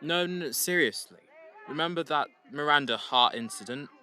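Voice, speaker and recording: A man's voice: on the high side, expressive, moderate pace, bright, forceful tone. A man playing a drunk, boisterous crew member who slurs and turns sentimental. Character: drunk, rowdy young male crew member.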